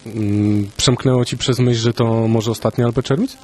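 A male sports journalist with a mid-range voice, interviewing an athlete. He asks questions conversationally, slips in quips and pauses on filler hums as he thinks. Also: mid-range voice, fairly even intonation, mellow. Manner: joking, hesitant with filler hums.

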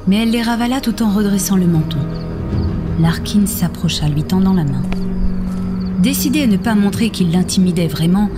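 A woman's voice: mid-range voice, expressive, moderate pace. A woman reading scripted, dramatic story narration for an audio fiction. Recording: music behind the voice.

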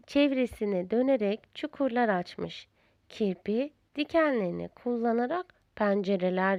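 A woman narrating a children's storybook in a deliberate, expressive storyteller's manner. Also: a little high, no echo, lo-fi sound.

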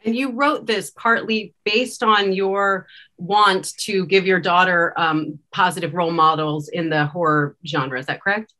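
A woman's voice: on the low side, steady tone, a little slow, pushed and bright, poor audio. A woman speaking conversationally and emphatically as an interviewed director on a video call. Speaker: podcast director and actor interviewed. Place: indoor room, video call. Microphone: video call microphone.

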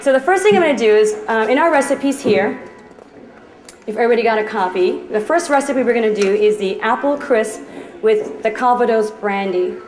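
A woman's voice: a little high, animated, fairly quick, darkish tone, soft background music. A woman in her thirties from Trinidad, giving a chatty, upbeat live cooking demonstration as a chef in a department store. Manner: chatty and upbeat, jokes with the crowd. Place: department store demo kitchen. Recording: echoey store floor.

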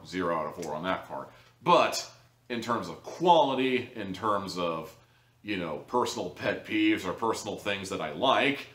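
A man with a fairly low voice talks casually to camera as a reviewer. He is blunt and sarcastic, with an exasperated edge. The living room adds clear echo to his voice. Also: a bit bright. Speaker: audio drama reviewer, host. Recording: echoey room, distant microphone.

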